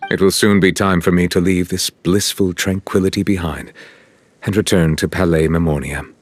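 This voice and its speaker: An actor playing a solemn, courteous chief justice in a video game, speaking in formal, measured, stately phrases. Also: male voice, deep voice, steady tone. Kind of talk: scripted game cutscene dialogue.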